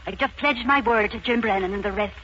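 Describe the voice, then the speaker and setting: An actress playing an earnest young Irish maid, urgent and entreating, in 1940s radio drama style. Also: female voice, on the high side, brisk, a bit bright, natural voice. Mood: earnest, pressing. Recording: muffled, noisy 1940s radio recording.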